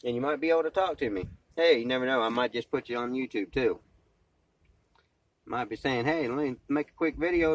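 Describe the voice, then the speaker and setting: A man's voice: mid-range voice, creaky. Folksy man rambling casually to his phone. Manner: folksy, plainspoken, slangy. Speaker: amateur vlogger and CB radio hobbyist, former truck driver. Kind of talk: casual rambling vlog talk. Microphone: cell phone.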